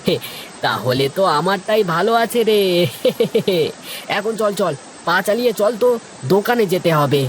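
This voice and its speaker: A woman's voice: mid-range voice, lively intonation, a little slow, slight background noise, close to an everyday voice, poor audio. A woman voicing a lazy, henpecked village husband who grumbles and whines in a cartoon. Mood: chatty. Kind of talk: animated moral-story cartoon dialogue.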